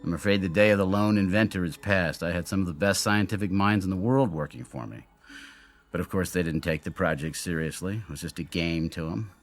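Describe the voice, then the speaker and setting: Low-voiced brooding inventor played by a man, radio drama. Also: fairly quick, faint music behind, lightly acted. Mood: proud explaining. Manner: theatrical, explanatory, given to brooding monologue. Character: brilliant, lonely, self-doubting male inventor of an android double.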